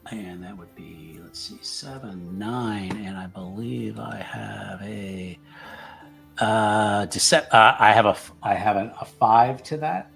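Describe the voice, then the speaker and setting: A man playing a tabletop roleplaying game, chatting casually and haltingly as he thinks aloud. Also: lowish voice, vocal fry, a bit bright, faint music behind. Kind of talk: casual actual-play chat.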